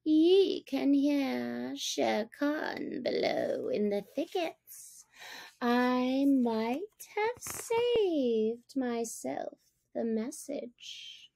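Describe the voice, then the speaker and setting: A woman's voice: sits high, animated, vocal fry, mellow, pitched above the performer's own voice, heavily acted. A woman voicing a sly male jackal scavenger in a storybook, fawning and mock-humble with a spiteful streak. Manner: obsequious, mock-humble, drawn-out wheedling.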